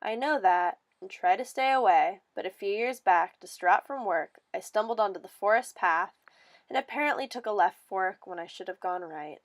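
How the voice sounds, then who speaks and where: A woman giving a theatrical, expressive dramatic reading of a first-person fairy story, as a storyteller. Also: mid-range voice, moderate pace.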